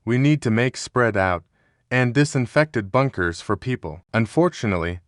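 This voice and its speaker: A man's voice: mid-range voice, expressive, normal speed, no echo, clean studio sound, lightly acted. A man playing a grave public health official delivering a formal, somber scripted emergency address. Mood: grave, resigned.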